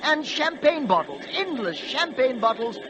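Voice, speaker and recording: A man's voice: extremely high pitch, very animated, unhurried, full character voice. A man playing a pompous, peevish British express train with an upper-class English accent, in a 1940s radio play. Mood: exasperated. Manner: pompous, fussy, drawling complaints. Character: snobbish British royal express train, haughty, peevish, bored. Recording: noisy old 1940s radio broadcast.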